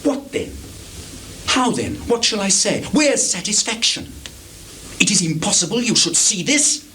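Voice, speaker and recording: On a slightly noisy live theatre recording, a sly, insinuating villain of Shakespearean tragedy who feigns honesty. The delivery is slow and theatrical, with pitch that ranges widely between low asides and high protest. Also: male voice, sing-song, bright, forceful tone, exaggerated voice. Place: small theatre stage.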